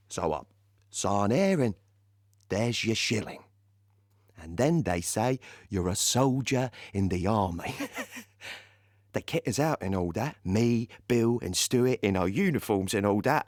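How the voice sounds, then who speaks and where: Audio play: carefree cockney-side teen recruit male actor, East London accent, animated range, dry close sound. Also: medium pitch, no room sound, lightly acted. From Stratford, East London. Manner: colloquial, excitable storytelling. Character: cocky, carefree East London teenage volunteer soldier.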